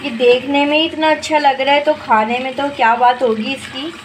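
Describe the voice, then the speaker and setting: A woman's voice: sits high, fairly even intonation, normal speed. Woman, cooking at home. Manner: homely, step-by-step instructive, addresses viewers directly. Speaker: cooking channel host. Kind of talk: home cooking tutorial narration. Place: home kitchen, stove. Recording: noisy with frying sizzle behind. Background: sizzling and stirring in a pot.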